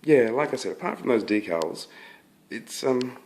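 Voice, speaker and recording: A man with a croaky voice from being sick, casually reviewing a finished scale model he built, with a relaxed, hesitant way of talking. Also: medium pitch, normal speed. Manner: relaxed, hesitant, many fillers and restarts. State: croaky from illness. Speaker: scale model hobbyist presenting his build.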